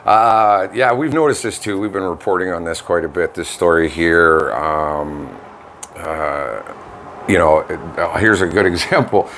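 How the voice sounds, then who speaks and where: A Canadian man with a very low-pitched voice co-hosts a casual local radio show. He talks in a chatty, folksy, colloquial way. The home studio recording has a faint layer of noise behind him. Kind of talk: casual local news chat.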